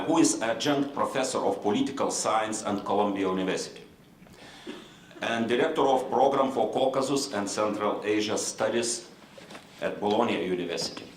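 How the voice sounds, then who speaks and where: A man with a Lithuanian accent moderating a conference panel in formal, halting English, with many fillers and restarts. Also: lowish voice, reverberant, slight background noise, rough recording. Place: conference room.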